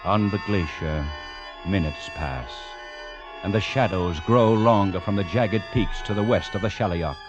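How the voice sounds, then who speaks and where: Adventure story narrator, grave, deliberate, low sweeping pitch, 1940s radio, music underneath. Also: male voice.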